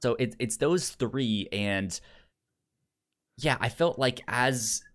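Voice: mid-range voice, takes it slowly. A podcast host discusses film in a casual, conversational style. The delivery is hesitant, with frequent stammering on the first sounds of words and repeated starts.

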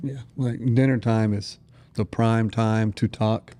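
A man's voice: lowish voice, steady tone, slow pace, mellow, clean tone. An American man in his thirties talking casually and earnestly as a parent, often dropping in "you know". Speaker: parent sharing family testimony. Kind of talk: casual conversational testimony.

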